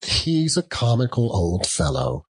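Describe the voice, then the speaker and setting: A man voicing a jolly young gentleman in an audiobook, hearty and good-humoured, chuckling between phrases. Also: medium pitch, very animated, unhurried, creaky, full character voice. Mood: good-humoured, amused. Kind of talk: audiobook character voice.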